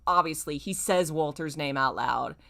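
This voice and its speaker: Exclamatory woman streamer with a lower-pitched voice. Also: moderate pace, vocal fry, fairly bright tone. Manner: exclamatory, informal, self-mocking. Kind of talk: casual livestream commentary and reading aloud.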